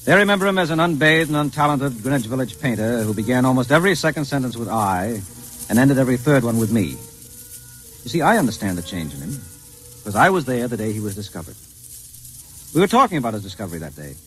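An actor playing a wry, fussy sophisticate narrating a 1950s radio drama. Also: male voice, medium pitch, very animated, fast, a bit bright, soft background music, poor audio. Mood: wry, confiding. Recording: hissy 1950s radio recording.

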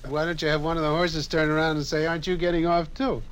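An older man reminiscing in a halting, anecdotal way, as an interviewed radio veteran. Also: a little high, level tone, a bit bright, a little hiss or hum. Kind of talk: spoken reminiscence.

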